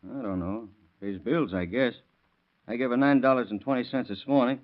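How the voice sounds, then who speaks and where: A man's voice: medium pitch, moderate pace, natural voice. A man playing a hot-tempered, blunt young father in a 1930s radio crime drama. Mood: blunt, grumbling. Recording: dull lo-fi vintage radio recording.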